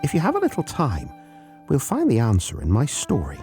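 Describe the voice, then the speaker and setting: A man's voice: mid-range voice, wildly swooping pitch, brisk, over music. A man narrating a scripted folk tale as a podcast storyteller, theatrical and playful, speaking directly to the listener.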